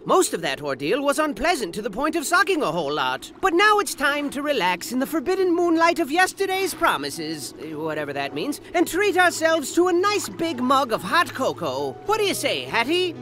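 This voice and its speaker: A man's voice: very high voice, bright, forceful tone, slight background noise, exaggerated voice. A man playing a zany, melodramatic and sarcastic cartoon game narrator, swerving between grandiose storytelling and slangy asides. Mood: weary, flippant. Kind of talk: comic scripted game narration. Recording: music under the voice.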